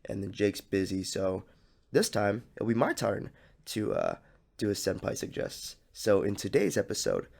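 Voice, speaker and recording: Hoarse, gravelly young man hosting a podcast. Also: lowish voice, normal speed. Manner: relaxed rambling with many fillers and restarts. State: hoarse, slightly scratchy from coughing. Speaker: podcast host. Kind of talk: casual solo podcast talk.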